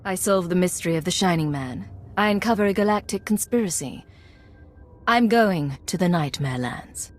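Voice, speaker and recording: Cool female spy from a sci-fi game, lowish voice, terse and determined. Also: lively intonation, moderate pace, faint music behind. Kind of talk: video game dialogue acting.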